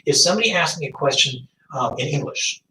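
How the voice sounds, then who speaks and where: A man's voice: fairly high pitch, lively intonation, a little fast, bright, forceful tone. A man whose first language is French teaches a calculus class and often stops to rephrase himself. He is recorded from across a classroom with a lot of room echo and a faint hiss underneath. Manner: thinks aloud, restarts and rephrases. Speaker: math teacher. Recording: echoey room, distant mic.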